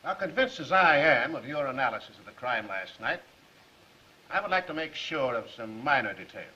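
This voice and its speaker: An actor playing a shrewd district attorney in a 1930s film, questioning a suspect with deliberate, mock-polite insistence. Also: male voice, medium pitch, lively intonation, pushed and bright. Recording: muffled 1930s film soundtrack.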